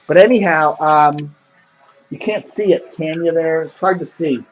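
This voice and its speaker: A man's voice: fairly high pitch, measured pace, faint music behind, rough recording. An American man chatting casually to camera as a video blogger, meandering and thinking aloud. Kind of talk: casual rambling chat to camera. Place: indoors at home.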